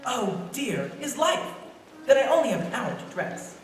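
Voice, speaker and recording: An earnest, eager angel-in-training acted by a woman for a radio play. The voice is excitable and swings widely in pitch, heard from far off on a noisy, very echoey stage. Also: moderate pace. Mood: excited. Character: eager, naive two-hundred-year-old apprentice angel. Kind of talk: old-time radio play acting.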